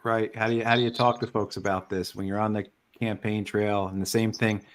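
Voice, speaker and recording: Male co-host asking casual interview questions, lowish voice. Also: flat delivery, fairly quick.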